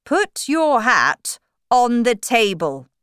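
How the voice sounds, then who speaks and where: Woman as a pompous, slow-spoken storybook king. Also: a little high, big pitch swings, a bit bright, clear, resonant tone, no room sound, studio quality, pitched above the performer's own voice, heavily acted. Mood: commanding.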